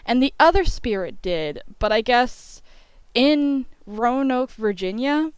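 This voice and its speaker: A woman's voice: on the high side, expressive, slow, clean tone, no room sound. A young woman telling a story in a casual, chatty voice-over, peppered with filler phrases like "you know". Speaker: YouTube storyteller.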